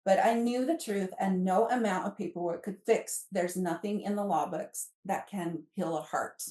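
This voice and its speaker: A woman's voice: medium pitch, moderate pace, warm tone. Echoey, distant middle-aged American woman, chatty. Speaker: podcast guest and author. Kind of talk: casual chatty storytelling, with some reading aloud from a book. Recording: echoey room, distant from mic.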